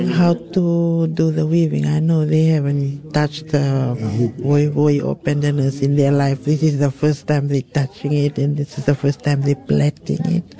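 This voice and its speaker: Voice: medium pitch, gravelly, mellow. A weaving teacher with a Fijian accent talking plainly in an interview. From Fiji.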